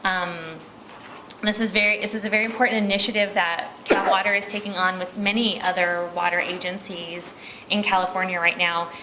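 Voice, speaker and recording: American lady presenting at a public meeting, bright clear-cut voice, halting and chatty, bit of noise behind. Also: medium pitch, flat delivery, poor audio. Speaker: water district manager giving a presentation. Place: meeting room, speaking at a podium.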